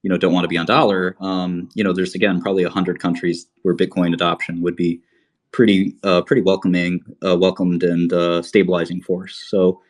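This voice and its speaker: A man's voice: sits low, flat delivery, brisk. A man from Albania talking casually on a livestream, rambling with many fillers and restarts. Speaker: podcast host.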